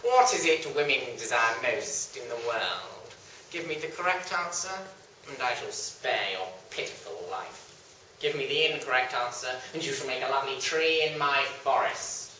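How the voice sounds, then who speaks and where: Villainous knight, acted by a storyteller, sneering, grandiose, very sharp forceful voice, heavily echoing room. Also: mid-range voice, lively intonation, normal speed. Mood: gloating threat. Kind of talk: theatrical live storytelling. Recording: very echoey room, distant mic, faint noise.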